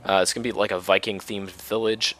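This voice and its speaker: A man's voice: fairly low pitch, level tone, brisk, a bit bright. A man in his thirties from the Minneapolis area, talking laid-back and rambling over his Minecraft game while coming down with a cold. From Minneapolis-Saint Paul area, US. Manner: laid-back and rambling, many ums and restarts. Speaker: Minecraft let's play host. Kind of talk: casual gameplay commentary.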